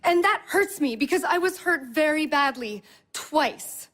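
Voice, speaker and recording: A woman's voice: sits high, lively intonation, measured pace, a bit bright. An actress playing a fragile, needy young woman in an audio play, plaintive and probing. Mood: distressed, confiding. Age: young adult. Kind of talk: naturalistic audio play dialogue.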